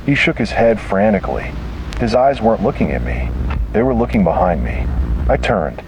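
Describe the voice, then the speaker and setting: A man with a low, deep voice narrates a scripted horror story in the first person. He delivers it dramatically, in short and deliberate phrases. Clear rumbling background noise sits behind the voice. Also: sing-song, low-quality recording.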